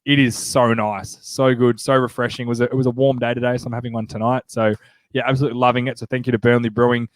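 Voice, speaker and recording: An Australian man in his thirties hosts a relaxed sports chat, his voice stuffy and nasal from a cold. He talks in a chatty, upbeat way, full of quick asides. The sound is dry and close, with no room in it. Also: mid-range voice, no room sound. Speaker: podcast host.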